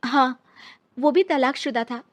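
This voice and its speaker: A woman's voice: high voice, unhurried. A woman playing an earnest, wronged young divorced woman in a dramatised story. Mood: aggrieved.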